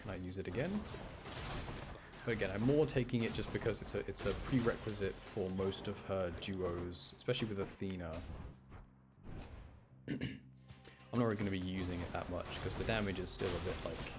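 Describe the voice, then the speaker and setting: A man's voice: deep voice, normal speed, pauses often. A man live streaming a video game, chatting casually with viewers as he commentates on his play. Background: music.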